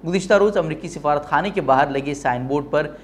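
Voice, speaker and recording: A male news presenter with a slightly high-pitched voice delivers a bulletin mixed with pointed commentary. He is emphatic and leans on rhetorical phrasing. There is some noise behind his voice. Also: fast talker.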